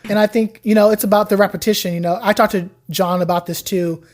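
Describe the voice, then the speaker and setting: A woman's voice: medium pitch, quick pace. A young woman, a guest on a podcast, chatting casually about learning Chinese, telling her story with lots of "like". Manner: chatty, animated storytelling with frequent "like". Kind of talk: casual interview chat.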